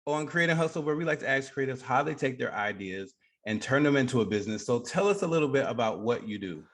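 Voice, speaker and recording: A man's voice: mid-range voice, lively intonation, quick pace, a bit bright. A man hosting a radio show, interviewing a guest in a casual on-air style.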